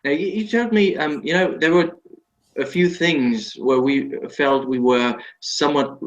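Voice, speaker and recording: A man interviewing a guest in casual conversation, halting and often repeating words as he shapes his questions. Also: a little high, dull audio.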